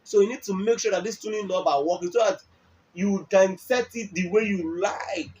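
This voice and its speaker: A man's voice: high voice, moderate pace, a little mellow. A man with a Nigerian accent presenting a product explainer, emphatic and repetitive, often checking with the listener through questions. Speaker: music equipment dealer and presenter. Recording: dull lo-fi audio, faint background noise.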